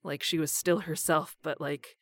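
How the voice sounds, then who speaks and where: A woman's voice: sits low, steady tone, normal speed, no room sound. Casual woman, dry close recording. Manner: frequent filler "like". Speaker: voice actor and interview guest. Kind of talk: casual chat.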